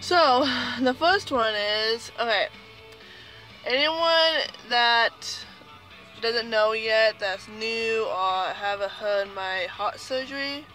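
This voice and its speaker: A woman's voice: a little high, slow, sharp tone, soft background music, rough recording. A young woman with a speech impediment telling a childhood story casually to camera, rambling with many fillers and restarts. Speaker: vlogger.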